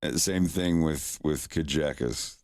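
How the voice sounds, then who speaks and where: A man's voice: very deep voice, takes it slowly, a little mellow, dry recording, studio quality. A man chatting casually on his livestream, rambling and chuckling in a self-deprecating way, into a desk microphone at home. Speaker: streamer, animator.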